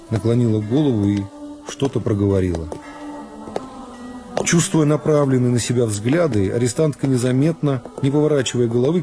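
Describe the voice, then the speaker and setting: A man's voice: mid-range voice, mellow. Male literary narrator over music. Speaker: narrator of a literary radio serial.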